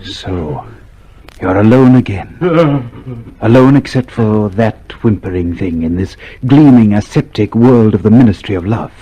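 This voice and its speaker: An actor playing a grave, pitiless narrator of a dystopia, measured and emphatic, in a radio drama. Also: male voice, lowish voice, dark tone, husky, lo-fi sound. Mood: cold, ominous.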